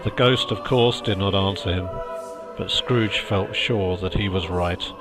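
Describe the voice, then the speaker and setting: A man's voice: low-pitched, a little slow. A man reading a storybook as audiobook narrator, in scripted narration. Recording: music behind the voice.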